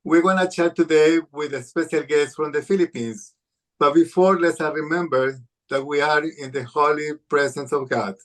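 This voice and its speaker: A man's voice: fairly high pitch, steady tone, normal speed, poor audio. A man from Ecuador who speaks English with an Ecuadorian Spanish accent, hosting an interview with careful phrasing and fillers. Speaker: host.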